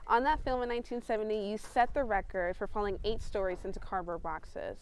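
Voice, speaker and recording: A woman's voice: medium pitch, steady tone, fairly quick, a little hiss or hum, rough recording. A woman interviewer putting questions to a guest.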